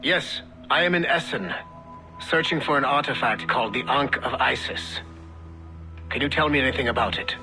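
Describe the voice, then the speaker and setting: An actor playing a stern, businesslike field agent in a game audio log, speaking in a formal, clipped, matter-of-fact way. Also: male voice, mid-range voice, moderate pace, close to an everyday voice, rough recording. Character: stern, businesslike male Templar field agent hunting assassins. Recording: music under the voice.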